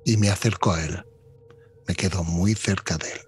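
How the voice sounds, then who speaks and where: A low-voiced man plays a tabletop game over a video call. He speaks bluntly and with menace, and a faint noise hangs behind his voice. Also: steady tone, normal speed. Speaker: player.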